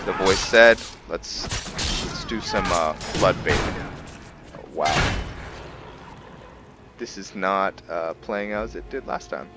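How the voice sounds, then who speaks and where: A man giving casual commentary on his game playthrough, thinking aloud in a halting way. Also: low-pitched, background noise. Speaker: gaming video host.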